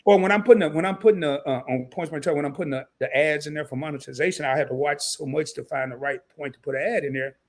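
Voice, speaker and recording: Man, live-stream host, casual slangy talk, slightly scratchy voice, lively rising pitch. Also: quick pace, few pauses. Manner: animated, slangy and laughing at himself. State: scratchy voice tonight.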